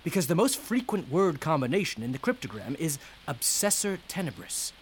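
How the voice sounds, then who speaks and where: A man's voice: a little high, very animated, brisk, a little hiss or hum. An actor in a radio drama playing a chatty, eager scholarly man with a hesitant, fussy way of talking. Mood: eager, excited.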